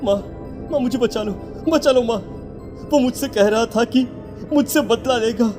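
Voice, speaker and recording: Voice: medium pitch, a little slow, music in the background, lightly acted. An actor voicing a frightened, clingy teenage schoolboy in a horror cartoon, hesitant and repeating pleas. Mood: fearful. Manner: hesitant, repetitive pleading. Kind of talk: melodramatic horror cartoon acting.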